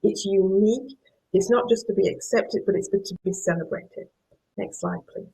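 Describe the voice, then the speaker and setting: A woman's voice: mid-range voice, normal speed, vocal fry, warm tone, husky, poor audio. A middle-aged woman from Yorkshire with a Yorkshire accent gives an academic lecture, often repeating words as she searches for the next phrase. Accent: Yorkshire English. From Yorkshire, England. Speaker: professor giving a guest lecture.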